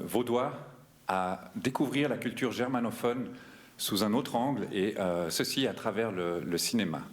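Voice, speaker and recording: A man, the festival president, giving a welcome speech in a cinema hall with many pauses and restarts. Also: on the low side. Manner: hesitant, many fillers and restarts. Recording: roomy hall.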